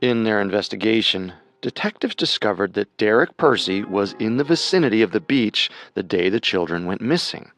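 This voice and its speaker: A man co-hosting and narrating a scripted true-crime show in a measured, dramatic storytelling manner. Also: lowish voice, lively intonation. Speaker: podcast co-host and narrator.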